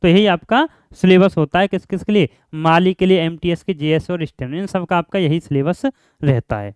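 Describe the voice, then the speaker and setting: Man, smooth clear tone, very dry sound, informal teaching, repeats key points, asks and answers own questions. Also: a little high, normal speed, a little mellow, no echo. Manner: repetitive, asks questions and answers them himself, frequent check-in tags. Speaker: exam coaching teacher and channel host. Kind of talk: informal explainer lesson for job aspirants.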